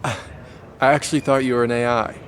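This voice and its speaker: A man's voice: mid-range voice, level tone, normal speed, lightly acted. A man playing an anxious, hesitant young adult in an audio play, faltering and unsure. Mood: uncertain, polite. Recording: noisy background ambience.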